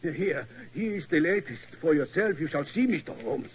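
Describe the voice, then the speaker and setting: An actor playing an anxious elderly German tower keeper who speaks halting, broken English with a German accent, in a 1940s radio drama. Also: male voice, on the high side, lively intonation, slight background noise, natural voice. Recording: dull old radio broadcast.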